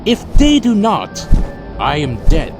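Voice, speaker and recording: A man's voice: fairly high pitch, huge pitch swings, slow pace, heavily acted. A man playing a proud, defiant vampire clan leader in an audio drama, formal and theatrically intense. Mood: defiant, urgent. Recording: dramatic music behind.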